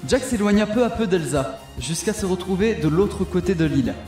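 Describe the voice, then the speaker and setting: A man's voice: high voice, expressive, brisk, a little mellow, reverberant, faint music behind. A man casually narrating a comic audio drama in an offhand, chatty way.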